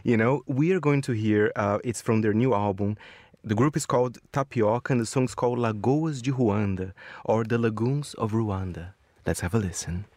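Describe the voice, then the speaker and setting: A man hosting a weekly radio highlights show, chatting cheerfully with his co-host and introducing the next segment. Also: moderate pace, a little mellow. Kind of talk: casual chat and scripted show links.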